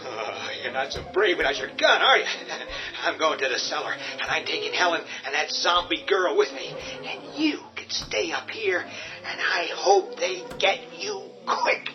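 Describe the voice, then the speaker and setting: A man acting out a spiteful coward in a radio drama, whose lively voice swings up and down as he sneers and mocks. The audio is muffled and lo-fi, with music behind him. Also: on the high side, unhurried. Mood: sneering. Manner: sneering, mocking. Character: cowardly, spiteful man set on reaching the cellar.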